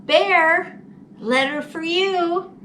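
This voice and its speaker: A woman's voice: very high-pitched, drawn-out words, sharp tone, pitched above the performer's own voice, heavily acted. A woman voicing a shy, kindly old mailman from a storybook, with terse, polite singsong calls. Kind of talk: storybook character voice. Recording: distant mic, faint background noise.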